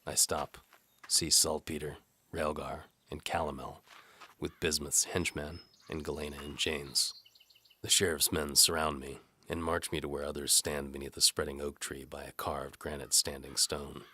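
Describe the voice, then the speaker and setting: A man narrating an audio drama in the first person as a weary, cynical, deadpan hired crossbowman. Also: very deep voice, moderate pace, slight background noise. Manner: hard-boiled, deadpan. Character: down-on-his-luck hired crossbowman, weary and cynical, telling his story. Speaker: narrator and voice performer.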